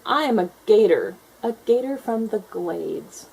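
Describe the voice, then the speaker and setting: A woman voicing an exuberant, proud and sociable female alligator in a children's storybook, with emphatic, drawn-out words. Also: mid-range voice, lively intonation, vocal fry, darkish tone, breathy edge, voice pushed up, exaggerated voice, low-quality recording. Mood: proud, delighted.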